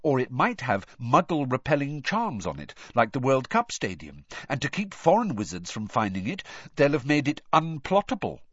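A man's voice: mid-range voice, huge pitch swings, normal speed, fairly bright tone, breathy edge, no room sound, highs cut off, voice pushed up, exaggerated voice. A man voicing an earnest teenage schoolgirl in an audiobook, sniffy and matter-of-fact as she lectures. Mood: earnest, explaining. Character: earnest, know-it-all teenage schoolgirl, quick to indignation.

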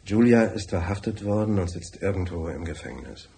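Deep-voiced, brusque businessman. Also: lively intonation, moderate pace, creaky, mellow. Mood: terse, confiding. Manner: curt, formal, bossy. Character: brusque, tight-fisted, wealthy businessman client. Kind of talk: radio drama acting.

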